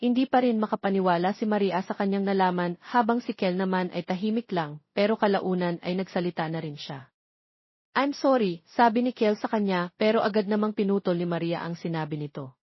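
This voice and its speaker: A woman's voice: medium pitch, a little fast, dry recording, dull audio. A woman with a Filipino accent narrating a scripted romance story as an audiobook narrator.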